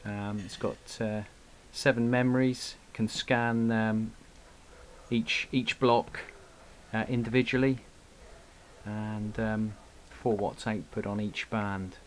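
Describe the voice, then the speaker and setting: Lowish-voiced man, halting delivery, noisy recording. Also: steady tone. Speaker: radio hobbyist presenting gear. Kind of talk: unscripted product demonstration. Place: indoor room at a radio desk. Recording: clear background noise, clicks.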